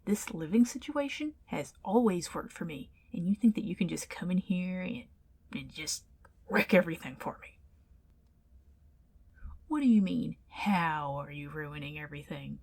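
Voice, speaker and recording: A woman's voice: lowish voice, expressive, moderate pace, gravelly, a little mellow. A woman playing an indignant, flustered tsundere ghost in an ASMR roleplay, stammering and defensive as she protests. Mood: exasperated.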